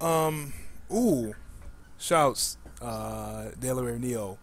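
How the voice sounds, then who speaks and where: A man's voice: medium pitch, very slow. A man in a casual gaming chat, hesitantly passing questions to the others. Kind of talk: casual game discussion.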